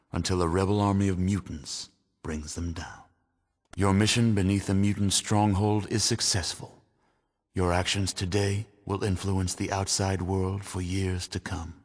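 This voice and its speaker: An actor playing a grave, solemn narrator of a post-apocalyptic video game, speaking in a measured, dramatic style. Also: male voice, low voice. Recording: long cavernous reverb.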